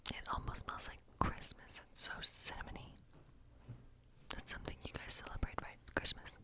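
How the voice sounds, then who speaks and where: A playful, slightly boastful witch of the woods, acted out by a woman, speaks entirely in a breathy whisper. She is intimate and teasing, chatting to a guest in an ASMR fantasy role play and softly repeating words. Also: normal speed, natural voice. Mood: playful, chatty. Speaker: ASMR performer.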